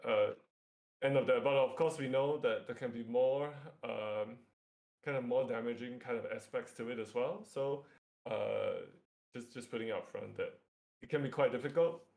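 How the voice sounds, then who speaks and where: A man's voice: mid-range voice, moderate pace, vocal fry, a little mellow. Singaporean man explaining in an informal workshop. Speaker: workshop facilitator. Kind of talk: informal lecture walking through slides.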